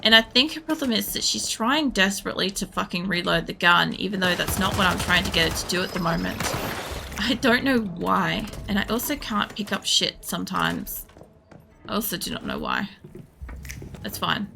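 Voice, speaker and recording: Female game streamer, gravelly fry, casual and sweary rambling commentary, noticeable background noise. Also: medium pitch, very animated, normal speed. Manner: sweary, blunt, thinking aloud. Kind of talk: casual live gameplay commentary, rambling chat.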